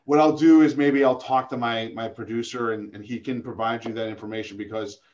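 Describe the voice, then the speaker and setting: A man with a gravelly voice, talking casually as a podcast guest, rambling with many restarts and self-deprecating asides. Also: medium pitch, fairly even intonation, fairly quick, a little mellow, dull audio. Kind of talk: casual interview chat.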